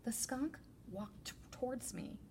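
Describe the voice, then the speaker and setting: A woman reading a children's picture book aloud as a teacher librarian, with deliberate, expressive storytelling. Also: high-pitched, a little hiss or hum.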